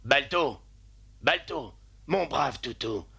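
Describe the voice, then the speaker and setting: A man voicing a hopeful, determined sled-dog driver in a dubbed animated film, earnest and emotive. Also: mid-range voice, slow, vocal fry, slightly rough, exaggerated voice. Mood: sad, tender. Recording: light music behind.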